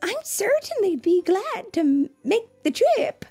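A woman's voice: very high voice, very animated, takes it slowly, warm tone, faint music behind, pitched above the performer's own voice, exaggerated voice. A woman voicing a kindly, hospitable old woman from a Christmas storybook, welcoming and motherly. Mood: helpful. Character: kindly, hospitable old Mrs. Santa Claus.

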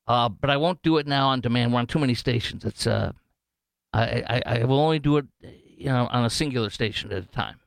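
A man's voice: mid-range voice, brisk, gravelly, no room sound. An American man hosts a talk radio show with a clean, resonant voice in a dry studio sound. He speaks off the cuff, opinionated and joking, leaning hard on his points and drifting into tangents. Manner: opinionated, jokey, digressive, emphatic. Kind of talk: unscripted talk radio monologue with live ad reads.

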